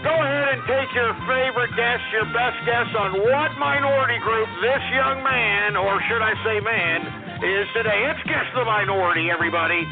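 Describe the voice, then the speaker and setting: A male radio host taking calls on a call-in show, ranting and shouting exclamations. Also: very high voice, moderate pace. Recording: lo-fi narrow-band broadcast with faint music.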